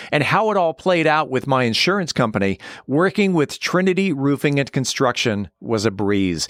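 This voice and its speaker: A man's voice: medium pitch, fairly bright tone, no room sound, clean studio sound. A man presenting scripted news and sponsor reads for a podcast.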